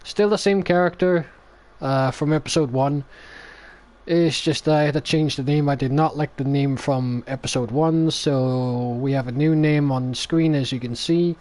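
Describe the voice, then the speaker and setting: A man in his thirties with a slightly higher-pitched voice talks informally to viewers as a game streamer. His delivery is halting and casual, captured on a headset mic. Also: darkish tone. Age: 30s. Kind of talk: casual stream intro chat.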